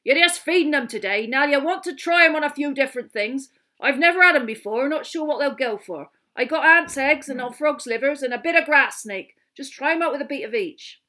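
A woman acts out a big, gruff gamekeeper from a storybook, proud and enthusiastic. The speech is rustic and folksy with dropped consonants, pushed loud and raised in pitch with lively swings. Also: brisk. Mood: rough, brusque.

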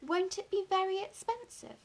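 A woman's voice: very high voice, lively intonation, normal speed, pitched above the performer's own voice. A woman voicing a young boy in a storybook, curious, wondering and full of questions. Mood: curious, doubtful. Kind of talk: acted audiobook dialogue.

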